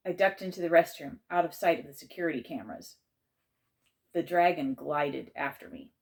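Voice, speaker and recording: A woman's voice: sits low, moderate pace. A woman narrating a children's chapter book aloud as its author and a teacher.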